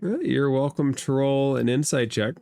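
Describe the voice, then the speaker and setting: A man narrating a tabletop role-playing game as game master, with a measured, halting delivery. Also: mid-range voice, gravelly, warm tone, dry recording, studio quality.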